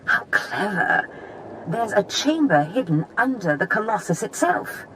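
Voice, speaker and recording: A woman's voice: mid-range voice, big pitch swings, unhurried, fairly bright tone, a little hiss or hum, rough recording. A woman playing a haughty, scheming Sith master in a video game, theatrical and calculating. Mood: pleased. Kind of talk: video game dramatic acting.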